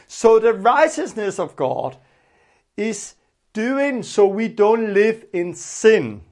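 A man from Denmark with a Danish accent, a native Danish speaker, preaching in simple explanatory English and repeating phrases for emphasis. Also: sits high. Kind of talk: informal teaching sermon with prayer.

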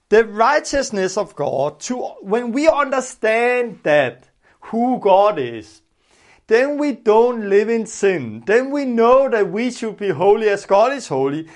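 A man from Denmark with a Danish accent, a native Danish speaker, preaching in simple explanatory English and repeating phrases for emphasis. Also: high voice. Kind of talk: informal teaching sermon with prayer.

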